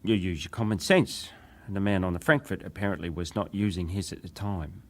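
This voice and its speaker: An actor playing a ship's wireless operator, a respectful but defensive witness giving clipped testimony in a dramatised hearing. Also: male voice, deep voice, fairly quick. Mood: defensive, firm. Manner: clipped, respectful, matter-of-fact.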